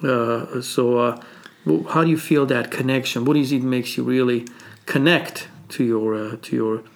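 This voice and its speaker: A man's voice: mid-range voice, steady tone, moderate pace, gravelly. A man from Armenia, a non-native English speaker, talking on a podcast, hesitant and full of fillers as he searches for words. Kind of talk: casual conversational podcast.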